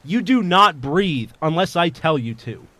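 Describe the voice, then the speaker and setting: Male actor as ruthless bank robber, audio drama villain, higher-pitched voice, domineering, menacing, commanding. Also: lively intonation, a little slow, sharp tone, no room sound. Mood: cold menace.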